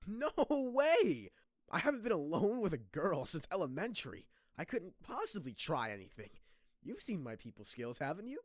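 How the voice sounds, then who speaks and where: A man's voice: a little high, huge pitch swings, moderate pace, no echo, studio quality. A man playing a cocky, awkward teenage boy hero in an anime fan dub, brash and jokey. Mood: flustered. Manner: brash, jokey, dramatic outbursts.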